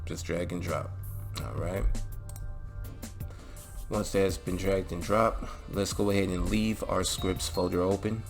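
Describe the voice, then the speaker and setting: A man narrating a casual step-by-step software tutorial as the video's host, in an instructional way with frequent check-ins. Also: deep voice, steady tone, a little slow, creaky, pauses often, soft background music. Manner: instructional, often checks in with "all right" and "okay". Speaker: video host giving mod installation tutorial.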